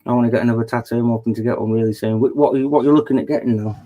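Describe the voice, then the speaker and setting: A man's voice: mid-range voice, fairly even intonation, very fast, mellow, muffled recording. A man, hosting a casual late-night chat, rambling and boasting and laughing at his own stories. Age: 40s. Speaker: host of a live chat panel.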